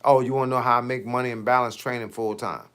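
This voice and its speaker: A man's voice: medium pitch, fairly even intonation, fast talker, fairly bright tone, clean tone. A man in his late fifties, a fitness coach chatting casually on his livestream, slangy and talkative, answering viewers' comments with rhetorical questions. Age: 50s.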